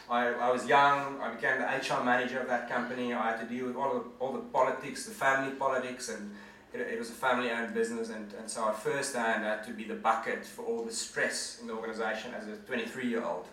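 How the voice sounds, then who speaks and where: Man, forties, bright edge, distant mic, roomy hall echo, earnest presentation. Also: mid-range voice, fairly even intonation, fairly quick. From South Africa. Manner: earnest, conversational, reflective. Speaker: guest speaker, management consultant. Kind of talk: presentation to an audience. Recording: echoey hall from far off.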